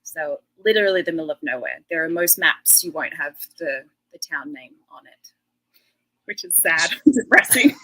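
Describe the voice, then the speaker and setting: A woman's voice: deep voice, lively intonation, normal speed, sharp tone, low-quality recording. An Australian woman in her thirties, a guest chatting casually and laughing often. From Western Australia. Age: 30s. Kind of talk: casual interview chat.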